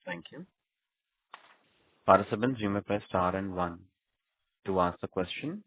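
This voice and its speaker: A man's voice: low voice, a little slow. A man moderating a conference call with formal, scripted announcements. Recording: phone-like conference line.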